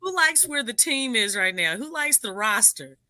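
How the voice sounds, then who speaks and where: A woman's voice: a little high, very animated, normal speed, crowd noise. A woman with a New Orleans accent co-hosting a casual sports podcast, teasing and laughing often. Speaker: podcast co-host.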